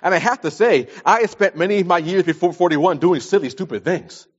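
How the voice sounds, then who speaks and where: Higher-pitched man preaching, dull recording. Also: a little fast. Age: early 40s. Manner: emphatic, repeats phrases for stress. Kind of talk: sermon with scripture readings and plain advice.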